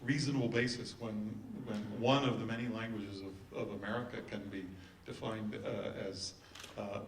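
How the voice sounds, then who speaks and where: A man giving informal panel remarks in a halting delivery. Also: on the low side, fairly even intonation. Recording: echoey room, distant mic, faint noise.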